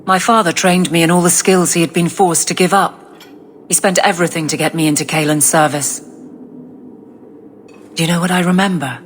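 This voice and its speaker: Actress as tough warrior woman, low voice, restrained, awkwardly tender, fantasy game drama, faint noise. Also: steady tone, moderate pace, pauses often, close to an everyday voice. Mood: wistful. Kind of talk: dramatic video game voice acting.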